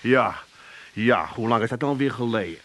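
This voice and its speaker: An actor playing an absent-minded, evasive middle-aged husband with an Amsterdam accent in a comic radio play. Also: male voice, medium pitch, lively intonation, moderate pace, a bit bright, natural voice. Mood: reminiscing. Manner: blustering, evasive. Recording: hissy radio recording.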